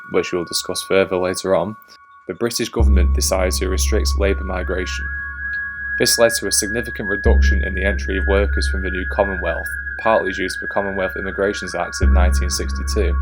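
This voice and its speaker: Deep-voiced male documentary narrator. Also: rough recording. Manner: steady, explanatory delivery. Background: guitar music.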